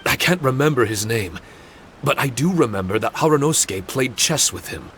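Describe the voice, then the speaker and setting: A man's voice: mid-range voice, expressive, normal speed, natural voice. Man voicing an earnest spirit guide, noisy background. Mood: puzzled. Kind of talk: video game voice acting.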